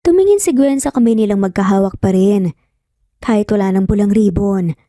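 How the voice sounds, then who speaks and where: A woman's voice: mid-range voice, moderate pace, warm tone, no room sound, clean studio sound. A woman narrating a romance story, acting out the dialogue as she goes. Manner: acts out dialogue between narrated lines. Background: background music.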